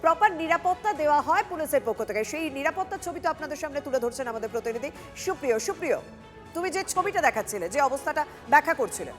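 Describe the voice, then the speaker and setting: A woman's voice: sits high, animated, racing, soft background music. A woman anchoring live breaking news in an urgent broadcast style.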